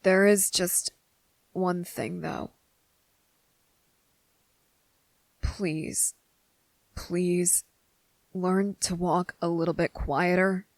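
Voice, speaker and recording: Woman doing a blunt wary kid boy for asmr roleplay, creaky voice, super dry close recording, hesitant. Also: fairly low pitch, steady tone, no room sound, low-quality recording. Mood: weary, pleading. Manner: hesitant, deliberate, with pauses. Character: wary, blunt but softening young boy. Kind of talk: ASMR roleplay audio drama.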